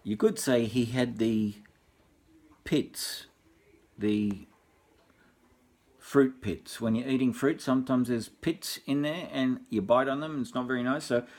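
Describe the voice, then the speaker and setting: A man's voice: mid-range voice, measured pace, pauses often. An older Australian man, a grandfather, reads a storybook informally, wandering off into jokey, repetitive asides. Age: 60s or older. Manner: jokey, rambling, repeats himself with deadpan asides. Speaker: grandfather reading a bedtime story.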